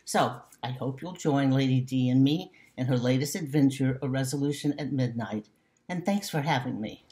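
A woman's voice: extremely low pitch, normal speed, dark tone. Older woman author introducing her book. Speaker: mystery novelist. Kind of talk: prepared book introduction to camera. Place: room at home. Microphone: laptop webcam at desk distance.

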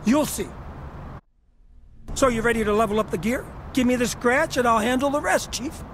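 An actor playing a brash gang weapons handler in a video game, slangy, profane and aggressive. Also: male voice, very high-pitched, normal speed, a bit bright, a little hiss or hum. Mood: sly. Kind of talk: video game cutscene acting.

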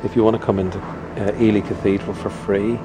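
A man's voice: lowish voice, flat delivery, fast, over music. A middle-aged man vlogging as he walks through a big stone cathedral, chatty and awed, filmed on a close handheld camera. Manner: awed, chatty, wandering as he talks. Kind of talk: casual travel vlog commentary. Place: inside a large stone cathedral. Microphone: handheld camera held close.

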